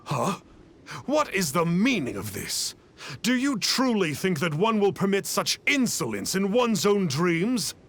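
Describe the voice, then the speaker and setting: A man's voice: high-pitched, sing-song, a little slow, a little hiss or hum, full character voice. A man playing a pompous, cowardly fraud in a video game, speaking in a theatrical, self-important way. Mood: indignant. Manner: pompous, theatrical, archaic self-reference as 'one'. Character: pompous, cowardly male charlatan posing as immortal.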